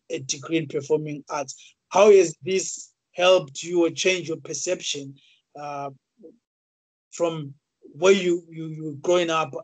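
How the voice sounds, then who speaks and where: A man in his thirties hosts an interview over a video call from a small room, his voice a little high for a man. He stumbles and repeats words, and the sound is dry and close. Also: darkish tone, dry recording, low-quality recording. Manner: halting, many fillers and repeated words. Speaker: interview host. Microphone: video call webcam.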